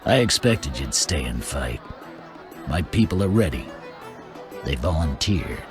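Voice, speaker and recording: A proud, stern rebel leader in a fantasy game. His voice is exceptionally deep, his manner grave and blunt. Music is clearly heard behind him. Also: sing-song, unhurried, heavily acted. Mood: proud, defiant. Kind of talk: video game voice acting.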